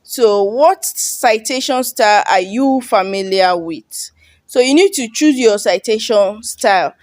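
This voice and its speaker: A woman's voice: fairly high pitch, lively intonation, a little slow, no echo. A Yoruba-speaking woman from Nigeria with a Nigerian English accent, casually walking viewers through an online sign-up form and often checking "you understand?". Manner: chatty, repeats "you understand?". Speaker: online tutorial host. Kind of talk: casual screen-recording walkthrough.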